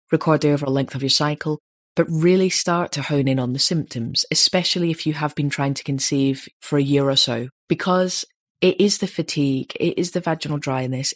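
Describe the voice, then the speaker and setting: A woman in her late thirties with a slightly deep voice, a podcast host giving candid, chatty advice with personal asides. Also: no room sound, clean studio sound. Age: 30s. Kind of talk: casual advice chat.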